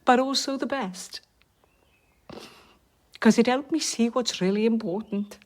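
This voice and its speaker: A woman's voice: on the high side, measured pace, lightly acted. An actress playing an effusive, sentimental older woman with a Welsh accent in a radio drama, lingering on her words. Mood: sentimental, coy.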